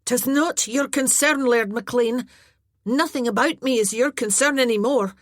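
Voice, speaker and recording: A woman voicing a fiery, proud young Highland woman with a sharp tongue, in an audiobook. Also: moderate pace, dry recording, clean studio sound, lightly acted. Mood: defiant.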